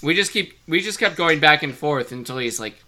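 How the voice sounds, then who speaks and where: Bright-voiced Midwestern man chatting. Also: fairly high pitch, animated, moderate pace. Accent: Midwestern American. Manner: wry, rambling anecdotes. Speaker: podcast co-host. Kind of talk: casual chat.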